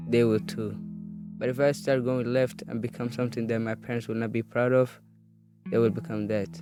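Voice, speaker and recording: An eighteen-year-old man from Somalia reading his own life story in an earnest, steady way. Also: fairly low pitch. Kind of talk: read personal narrative.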